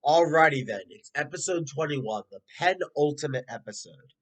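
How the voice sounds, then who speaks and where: A man's voice: fairly high pitch, expressive, moderate pace, fairly bright tone, low-quality recording. A man giving a casual spoken review with a stuffy, congested nose, chatty and full of filler words. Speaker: video reviewer.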